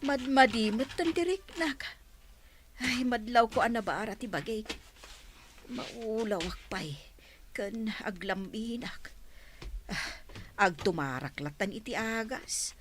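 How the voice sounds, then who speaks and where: A woman playing a sharp-tongued shopkeeper who chases a debt and scolds loudly. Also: on the high side, slow, pauses often, a little hiss or hum. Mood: weak, sickly. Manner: loud and scolding.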